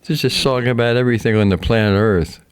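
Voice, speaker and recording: A man's voice: medium pitch, steady tone, fast, clean tone. A man co-hosting a talk show in casual chat, joking and rambling with some mild swearing.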